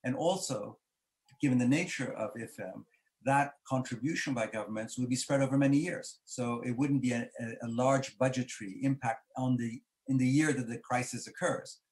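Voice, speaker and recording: An older man, a board chair, explaining a financing idea on a video call from his home study, deliberate and given to repeating words as he builds each point. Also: mid-range voice, darkish tone. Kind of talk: measured expert explanation.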